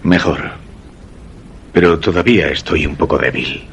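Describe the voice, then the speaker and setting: An actor with a Castilian Spanish accent playing a weak, fearful husband who narrates a suspense radio drama in a measured, solemn way. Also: male voice, very deep voice, gravelly, slight background noise. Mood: weak, distressed. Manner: measured, literary, solemn storytelling. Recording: voice over background music.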